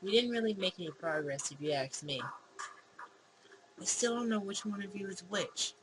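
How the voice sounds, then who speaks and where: A woman's voice: mid-range voice, animated, normal speed, noisy background. A woman hosting a gaming video, reading visual novel dialogue aloud in a casual, acted-out way with asides. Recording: music behind the voice. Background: game music.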